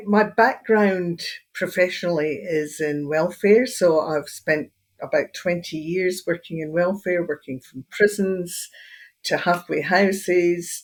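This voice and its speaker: An older woman from Edinburgh, Scotland, whose Scottish accent has taken on an Australian edge, speaking calmly and with pauses as an interview guest. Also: on the low side. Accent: Scottish, Edinburgh, mixed with Australian. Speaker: guest, hypnotherapist and end-of-life doula. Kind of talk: casual interview conversation.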